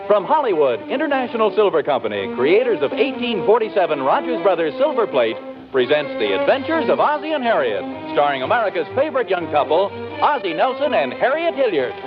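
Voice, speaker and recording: A man's voice: high-pitched, huge pitch swings, fairly quick. A man announcing and narrating in a polished, theatrical 1940s radio style, reading from a script. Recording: dull old radio recording. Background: music.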